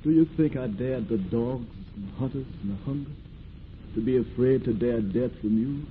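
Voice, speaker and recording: An actor playing a weary but defiant runaway slave in his twenties, speaking with steady dignity in old radio drama style. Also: medium pitch, moderate pace, lightly acted. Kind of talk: 1940s radio acting. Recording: tinny, noisy old radio recording.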